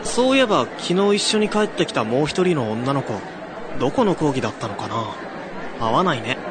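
A man's voice: medium pitch, sing-song, a little fast, crowd noise, noisy background, close to an everyday voice. A man playing a young male college student who tells a ghost story in a radio drama. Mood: casual, puzzled. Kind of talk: radio drama narration with acted dialogue.

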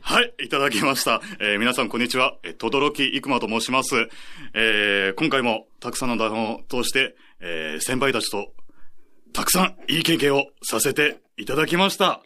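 A man's voice: mid-range voice, animated, moderate pace, sharp tone. A young man, a trainee voice actor, chatting on a radio show in a polite, deferential way. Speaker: fledgling voice actor guest. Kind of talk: casual radio chat.

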